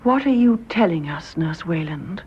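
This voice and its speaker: An actress playing an anguished young British wife in a radio drama, speaking in a hesitant, hushed way. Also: female voice, on the low side, lively intonation, normal speed, husky. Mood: shocked. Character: anguished, secretive young wife, gently well-bred. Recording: dull, noisy radio drama recording.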